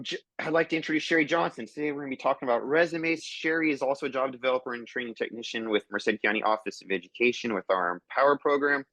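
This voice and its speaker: A man's voice: mid-range voice, fairly bright tone, dry recording, poor audio. A man hosting an online webinar over a video call, upbeat and loose with fillers as he introduces people and sponsors. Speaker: webinar host.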